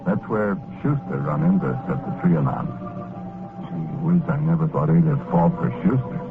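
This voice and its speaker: A man's voice: low-pitched, very animated, normal speed, creaky, exaggerated voice. An actor playing a meek, wistful working-class American ghost, telling his story in rambling slang in 1940s radio drama style. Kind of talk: 1940s radio drama first-person narration. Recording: muffled old radio recording with music. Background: music.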